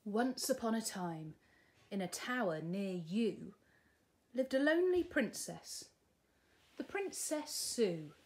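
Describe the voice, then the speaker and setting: A woman's voice: mid-range voice, sing-song, slow. A British woman in her forties narrating a children's storybook expressively, as a teacher would.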